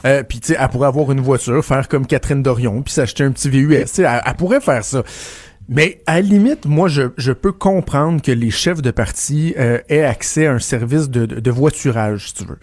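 A man's voice: medium pitch, brisk, a little mellow, dry recording, clean studio sound. A man with a Québécois French accent, a political commentator chatting casually and telling anecdotes with frequent restarts. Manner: storytelling, many restarts and repeated words. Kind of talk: casual radio chat.

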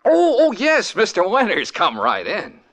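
An actor playing an eager young male detective in 1940s radio acting, with a bright, bouncy delivery on an old radio recording. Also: high-pitched, wildly swooping pitch, measured pace, heavily acted. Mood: breezy.

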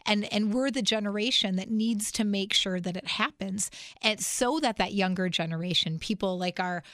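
A woman's voice: medium pitch, normal speed, no room sound, studio quality. Earnest, slightly congested woman guest. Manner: earnest, animated storyteller. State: sick, congested. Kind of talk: casual interview conversation.

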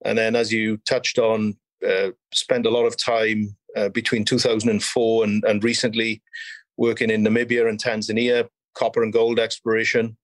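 A man in his fifties with a Welsh accent, speaking as an interview guest in a measured, explanatory way. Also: on the low side, level tone, no echo. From South Wales. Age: 50s. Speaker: podcast guest, mining company chief executive and geologist. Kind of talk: conversational interview, recounting career.

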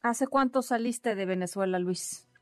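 A woman's voice: mid-range voice, measured pace, no room sound, soft background music. Woman radio host. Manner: brief prompting questions, frequent short backchannel sounds. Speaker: radio news host, interviewer. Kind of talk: news radio interview.

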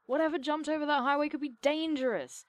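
A woman's voice: sits high, fairly even intonation, quick pace, no room sound, lightly acted. A woman playing a reluctant, sarcastic young travel companion in an audio drama, with dry, teasing grumbles. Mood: firm, exasperated. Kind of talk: audio drama acting, naturalistic banter.

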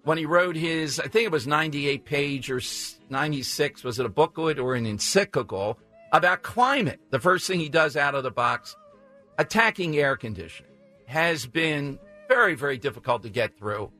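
Man, talk radio host, bright tone, teasing, faint music under. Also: fairly high pitch, animated, normal speed. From South Philadelphia. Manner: joking, teasing callers. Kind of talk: casual talk radio banter.

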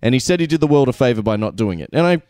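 A man guesting on a movie podcast, excitable and talkative, telling stories at length. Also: mid-range voice, racing, dry recording, clean studio sound. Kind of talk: casual podcast chat.

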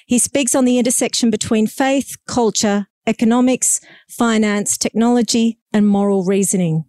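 A woman's voice: on the high side, fairly even intonation, moderate pace, dark tone, no echo, clean studio sound. A woman hosting an evening lecture, introducing the speaker and asking him friendly questions. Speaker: event host. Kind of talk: introduction and interview.

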